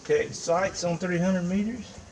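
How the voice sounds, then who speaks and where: Folksy man, distant, in loud outdoor noise. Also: high voice. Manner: folksy, thinking aloud, halting restarts. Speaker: shooting range vlogger. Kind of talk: casual narration while shooting. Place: outdoor shooting range in woods.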